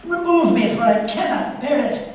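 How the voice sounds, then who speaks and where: A man's voice: very high voice, expressive, normal speed. An actor playing a tormented old man pleading with a spirit in a stage radio play, anguished and theatrical. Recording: distant echoey hall, faint noise.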